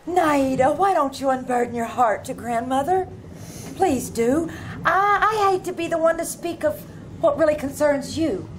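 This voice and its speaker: A woman's voice: high voice, animated, normal speed. A woman playing a caring young mermaid sister, earnest and hesitant, in old-time radio drama style. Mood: gently urging. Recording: noisy live theater recording.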